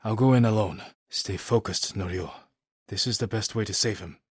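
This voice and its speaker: Low, husky samurai warrior. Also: male voice, no room sound, clean studio sound. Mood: grave, focused. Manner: measured, grave, short clipped phrases. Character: solemn, resolute male samurai warrior fighting invaders. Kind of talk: dramatic video game character dialogue.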